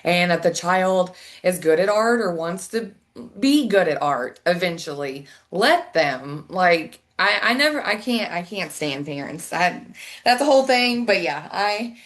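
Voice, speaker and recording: A woman's voice: on the low side, lively intonation, a little slow. Chatty, effusive woman podcast host. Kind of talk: casual interview.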